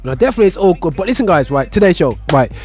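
A man's voice: high voice, sing-song, very fast, darkish tone, faint music behind. A man hosting a casual talk show, laid-back, laughing often and using British slang. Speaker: show host. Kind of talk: casual podcast chat.

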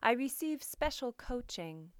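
A woman host reading literary work and scripted introductions in a measured, deliberate, expressive way. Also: on the high side, no room sound, clean studio sound. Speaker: poetry show host and reader.

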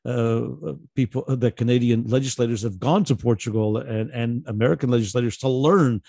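A man speaking on an online panel, halting with many fillers and restarts. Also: mid-range voice, gravelly, warm tone, breathy edge, no echo. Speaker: guest speaker.

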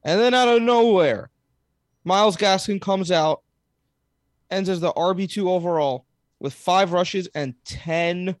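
A man's voice: high voice, no echo. Teasing male podcast co-host. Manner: storytelling, teasing. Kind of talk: casual fantasy sports chat.

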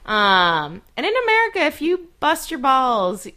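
A woman's voice: high voice, wildly swooping pitch, a little slow, sharp tone. A young adult woman speaking candidly in a casual podcast chat, earnest with laughs and fillers.

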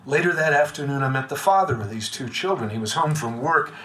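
A man in his sixties giving a prepared, literary lecture to a room of listeners, measured, with his voice dropping quiet at the ends of lines. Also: mid-range voice, expressive. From United States. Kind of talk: reading a prepared reflective talk. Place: room with a live audience.

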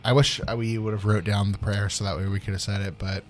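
A young man co-hosting a casual talk show, bantering in a slangy, sweary, halting way. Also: lowish voice, little pitch movement, soft background music. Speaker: podcast co-host.

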